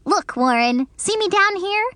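A woman voicing an excitable, bossy first-grade girl who chatters in an eager, earnest way for an audiobook. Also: very high-pitched, measured pace, no echo, voice pushed up, exaggerated voice. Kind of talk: audiobook character voice.

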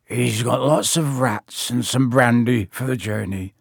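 Guy doing a big gruff softie gamekeeper giant from a storybook, all weepy and doting, dry close sound. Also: a little high, very animated, measured pace, dry recording, voice pushed up, full character voice. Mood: sobbing, tender. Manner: tearful, doting. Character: gruff, sentimental giant gamekeeper doting on his baby dragon.

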